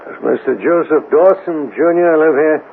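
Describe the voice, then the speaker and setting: An actor playing a wry, needling police detective with dry, teasing authority and a gravelly voice in a 1970s radio drama. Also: male voice, fairly high pitch, normal speed, lightly acted. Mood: wry, probing. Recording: tinny, noisy old radio broadcast.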